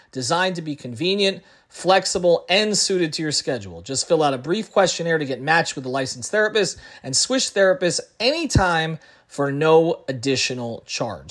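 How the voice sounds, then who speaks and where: A man's voice: on the high side, a bit bright. A man reading a scripted sponsor advertisement. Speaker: ad reader.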